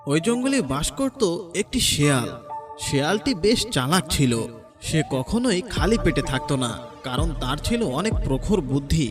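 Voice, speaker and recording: High-pitched, animated male storyteller. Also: moderate pace. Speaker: narrator. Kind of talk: scripted children's story narration. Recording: music bed with birdsong.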